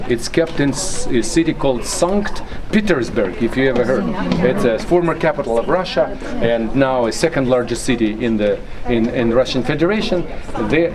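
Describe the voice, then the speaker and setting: A man guiding a museum tour, explaining at length in English that is not his first language, often pausing to search for words and checking in with "okay?". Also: a little high. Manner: explanatory, peppered with "okay?" and "you know". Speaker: tour guide. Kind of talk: guided museum tour talk. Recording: noisy ambient background.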